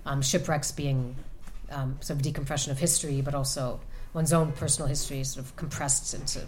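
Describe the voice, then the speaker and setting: A woman author answering audience questions about her novel, speaking thoughtfully with many pauses and restarts. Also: a bass voice, fairly even intonation, darkish tone, slight background noise. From United States. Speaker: author at a reading. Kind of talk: book talk and audience Q&A. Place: event room with an audience.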